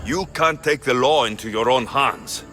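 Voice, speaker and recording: An actor playing a young, steady, dry-witted mercenary hero in a video game. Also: male voice, mid-range voice, normal speed, lightly acted. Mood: stern. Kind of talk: video game dialogue acting. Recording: music under the voice.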